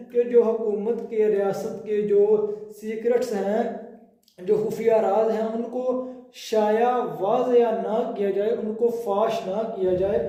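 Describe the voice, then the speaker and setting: Pakistani guy teaching law, slow and deliberate, super echoey room. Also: high voice, mellow. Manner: deliberate, repeats key phrases in other words. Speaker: law lecturer. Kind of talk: explanatory law lecture, reading out clauses and explaining them. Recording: very echoey, reverberant room sound.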